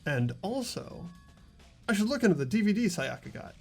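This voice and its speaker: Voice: mid-range voice, very animated, moderate pace, creaky, a little mellow, soft background music. A player voicing an anxious, earnest teenage schoolboy detective who wonders aloud in a visual novel game. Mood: puzzled, earnest.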